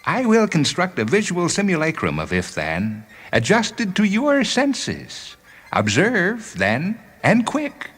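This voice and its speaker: A man voicing an alien guardian sphere, declaiming in solemn, archaic, drawn-out phrases for a science fiction story. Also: sits high, huge pitch swings, a bit bright, breathy edge, pitched above the performer's own voice, heavily acted. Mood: urgent, commanding. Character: alien guardian sphere, grandiose herald turned hustling fight manager.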